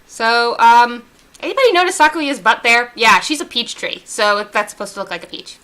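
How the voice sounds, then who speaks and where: A woman's voice: on the high side, normal speed, sharp tone. A woman streaming a video game, chatting casually and rambling with lots of fillers. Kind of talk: casual let's-play chat.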